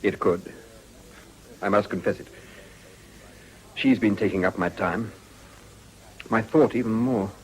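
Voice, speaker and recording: An actor playing a genial, self-assured Edwardian gentleman with an upper-class English accent and formal, bantering speech in a period radio drama. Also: lowish voice, a little slow, long pauses, natural voice, poor audio. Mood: genial, confident.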